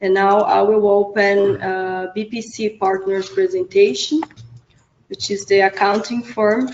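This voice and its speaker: A woman moderating a webinar, briskly handing over to the next presenter. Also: medium pitch, darkish tone, a little hiss or hum. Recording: roomy, dull webinar audio.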